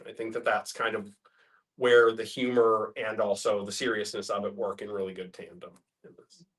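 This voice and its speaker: A man taking part in a group discussion, reflecting philosophically in a halting way as he works through his thoughts. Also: fairly low pitch, little pitch movement, a bit bright. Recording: group in room, distant mic.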